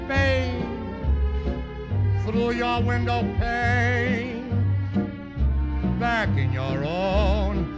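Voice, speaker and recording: A man's voice: extremely high pitch, extremely slow, pauses often, highs cut off, loud music behind the voice. A man singing an old 1920s popular song on a vintage archive recording. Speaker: singer.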